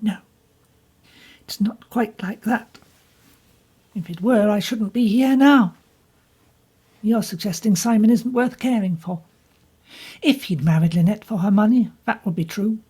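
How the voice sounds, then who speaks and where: A woman playing a bitter young woman, intense and husky. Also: mid-range voice, a little mellow, pitched above the performer's own voice. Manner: intense, pleading, emphatic.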